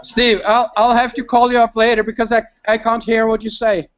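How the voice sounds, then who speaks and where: A Norwegian man speaks as a teacher on an informal video call. His voice is high and bright, and his manner is polite and somewhat hesitant. The connection makes him sound a bit dull and lo-fi. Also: little pitch movement, brisk, clean tone.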